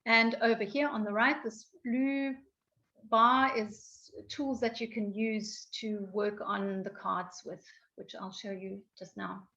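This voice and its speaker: A woman giving an online software training, explaining with frequent ums, her voice going croaky. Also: medium pitch, steady tone, takes it slowly. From South Africa. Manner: explanatory, many ums and restarts. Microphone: video call. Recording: dull lo-fi online call audio.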